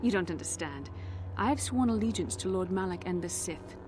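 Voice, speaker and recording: An actress playing a proud, bitter fallen Jedi in a video game, haughty, intense and deliberate. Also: female voice, fairly low pitch, animated, background noise, lightly acted. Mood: scornful. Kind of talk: dramatic video game acting.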